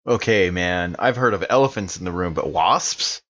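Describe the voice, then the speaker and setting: A man's voice: sits low, moderate pace, fairly bright tone. A man playing a tabletop roleplaying game with casual, folksy in-character banter, on a slightly muffled recording.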